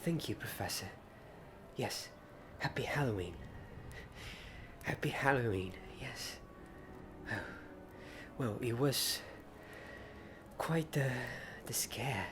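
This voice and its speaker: A man playing a welcoming wizard-school teacher in an ASMR roleplay, speaking softly and a little haltingly. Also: mid-range voice. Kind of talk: soft-spoken ASMR roleplay. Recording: clear ambient noise behind the voice.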